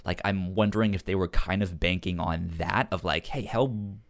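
A man's voice: low voice, normal speed, gravelly. Guy chatting casually on a comics podcast, stutters and repeats syllables a lot. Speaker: podcast co-host. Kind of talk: casual comic book discussion.

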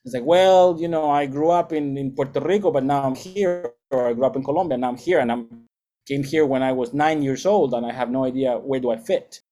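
A man's voice: medium pitch, fairly quick, dark tone. A middle-aged man, a music educator and salsa band leader, giving thoughtful, lecture-like interview answers with frequent restarts.

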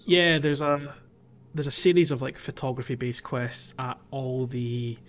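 A man's voice: medium pitch, unhurried, a bit bright, muffled recording. Rambling man on a gaming podcast. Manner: rambling, hesitant with many fillers. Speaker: podcast co-host. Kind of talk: casual gaming podcast chat.

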